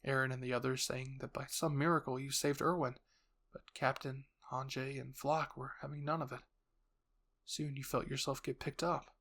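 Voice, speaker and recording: Mid-pitched fan-fiction narrator. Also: flat delivery, moderate pace, a bit bright. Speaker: narrator and voice performer.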